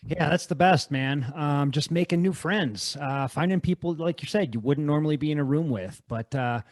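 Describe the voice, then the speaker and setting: Laid-back podcast host, dry close sound. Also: male voice, on the high side, moderate pace, no echo. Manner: laid-back, enthusiastic, says "man" often. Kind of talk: casual conversation.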